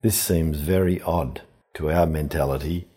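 A man giving a reflective scripture sermon as a priest, in a measured, deliberate, explanatory manner. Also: very deep voice, fairly even intonation, mellow, husky.

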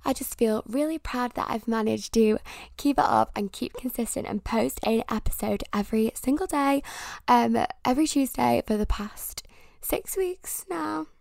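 A young woman talks through her week on her own podcast, rambling from one thing to the next with plenty of fillers. Her voice sits a little high and carries a heavy gravelly fry. The sound is dry and close, with no room around it. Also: normal speed, darkish tone, no room sound. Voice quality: croaky, gravelly voice. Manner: chatty and rambling, many fillers. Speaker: podcast host. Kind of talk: casual solo podcast chat.

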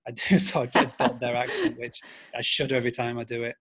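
A man's voice: mid-range voice, fast talker, a bit bright, husky. A man in his thirties with a Yorkshire accent, a podcast guest chatting casually with plenty of ums and restarts. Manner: hesitant, many fillers and restarts. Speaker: podcast guest, business founder. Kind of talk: casual interview conversation.

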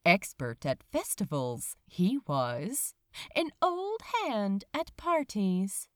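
A woman reading fantasy fiction aloud in an expressive, wry, theatrical storytelling manner. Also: medium pitch, slow, dry recording, studio quality. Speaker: fan fiction audiobook reader.

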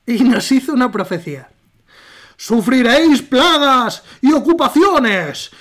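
A woman's voice: sits high, fairly bright tone, pitched above the performer's own voice. A woman voicing a solemn, grandiose prophet of an ancient people in a declamatory, mock-epic manner.

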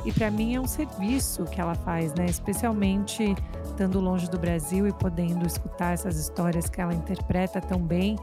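A woman from Brazil hosting a podcast, talking in a casual, enthusiastic way with her guest and her listeners. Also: fairly low pitch. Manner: warm, chatty, enthusiastic. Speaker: podcast host. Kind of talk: casual podcast interview and outro. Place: Boston area, United States.

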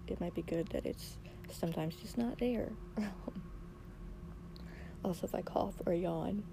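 Host woman, hoarse and quiet, voice cracking into fry, halting reflective monologue, noisy background hiss. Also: lowish voice. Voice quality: raspy. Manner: soft-spoken, halting, reflective, many pauses. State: losing her voice, hoarse. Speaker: podcast host. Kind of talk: personal solo podcast monologue.

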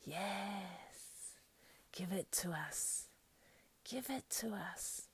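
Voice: mid-range voice, expressive, extremely slow, breathy edge, pitched above the performer's own voice, heavily acted. A narrator voicing a wretched, starved creature who whines, hisses and pleads in a storybook reading. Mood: desperate craving. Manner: hissing, whining, pleading. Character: wretched, starved, whimpering creature craving his treasure.

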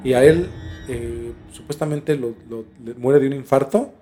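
A Mexican man hosts a casual podcast in a mid-pitched voice, with music playing underneath. He tells things informally and haltingly, often doubling back on his words as he explains. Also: mellow. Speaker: podcast host. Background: background music.

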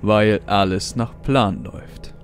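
A man's voice: fairly low pitch, slow, soft background music. Man playing a cold, piercing traitor scientist. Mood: calm menace. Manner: quiet but piercing, condescending. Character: traitorous male scientist mentor, cold, gleeful, fanatically devoted. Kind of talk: audio drama acting.

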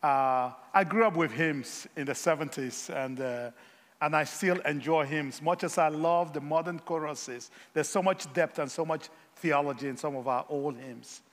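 A guest preacher, his voice sitting a little high for a man. He talks haltingly, filling gaps with small hesitations and wry jokes, and his words ring out in a hall with a long echo. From Africa. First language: Yoruba. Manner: halting, frequent filler pauses, self-deprecating humor. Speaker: guest missionary speaker. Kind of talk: sermon.

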